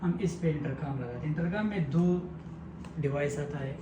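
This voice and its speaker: A man giving a step-by-step technical tutorial, explaining simply and repetitively in halting phrases. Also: on the high side, dull audio. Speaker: technical instructor. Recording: echoey room with clear background noise.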